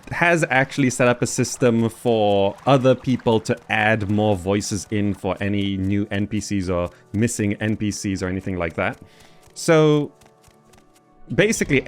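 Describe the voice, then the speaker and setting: A man hosting a gaming video with casual, hesitant commentary, thinking aloud as he plays. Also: on the low side. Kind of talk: casual game playthrough commentary.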